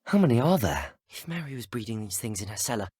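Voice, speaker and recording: Earnest young British wizard student. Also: male voice, medium pitch, big pitch swings, quick pace, a little mellow, slightly rough, dry recording, studio quality. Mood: focused, observant. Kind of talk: video game character dialogue.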